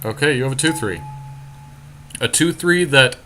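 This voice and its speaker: A man's voice: medium pitch, lively intonation, moderate pace, noisy background. A man co-hosting a gaming podcast, thinking aloud analytically as he casually talks through plays in an online card game. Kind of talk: casual play-by-play strategy talk.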